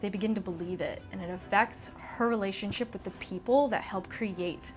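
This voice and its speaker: A woman's voice: mid-range voice, normal speed. American female creator, promo interview, middle register, light music underneath. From American Southwest. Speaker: audio drama creator.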